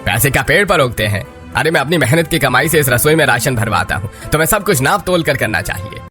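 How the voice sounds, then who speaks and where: A man's voice: mid-range voice, lively intonation, fairly quick, sharp tone, soft background music. A man voicing an angry, thrifty, middle-aged father-in-law in a cartoon story, stern and scolding. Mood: angry, reproachful.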